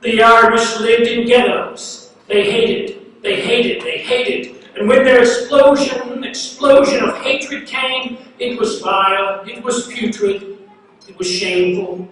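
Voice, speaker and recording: A man's voice rings out from far off in a very echoey hall, with a long reverb tail. He recites poetry like an incantation, pitched high, repeating phrases insistently and setting them apart with emphatic pauses. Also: fairly bright tone. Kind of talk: dramatic spoken-word poetry recitation. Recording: very echoey hall, distant mic, faint noise.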